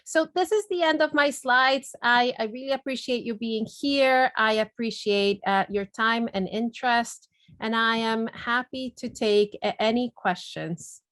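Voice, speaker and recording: A woman's voice: on the high side, measured pace, fairly bright tone, no room sound. Earnest woman speaking on a video call. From Guatemala. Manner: earnest, many fillers and repeated words. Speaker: neurologist and webinar speaker. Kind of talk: webinar presentation and Q&A.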